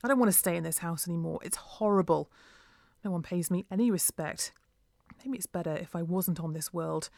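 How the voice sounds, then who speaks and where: A woman's voice: lowish voice, fairly quick, vocal fry, darkish tone, no echo, studio quality. A woman voicing a bullied, emotional girl in a storybook, speaking in dramatic exclamations. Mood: upset. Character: dramatic, hurt, bullied girl dreaming of escape.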